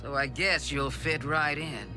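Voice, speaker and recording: An actor playing a fed-up, hard-nosed criminal squad boss in a video game, curt and contemptuous. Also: male voice, fairly high pitch, a little slow, music in the background, natural voice. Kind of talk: video game cutscene acting.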